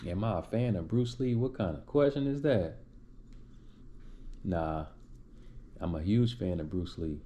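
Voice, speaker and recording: A man's voice: deep voice, expressive, measured pace, pauses often, warm tone, a little hiss or hum. A man hosting a casual live stream, answering viewer chat in a loose, rambling way with many restarts. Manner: reads out and replies to chat names.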